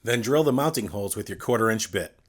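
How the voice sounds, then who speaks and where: A man with a fairly low voice narrates a scripted installation tutorial. He speaks straight to the viewer in a clear, instructional way, going through things step by step. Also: expressive, quick pace.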